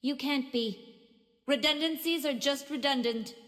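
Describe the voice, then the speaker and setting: A woman's voice: high voice, measured pace, fairly bright tone. A woman voicing a naive, obedient, emotionally detached young living weapon in an anime game dub, earnest and stilted. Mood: wary. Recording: long hall reverb, music underneath.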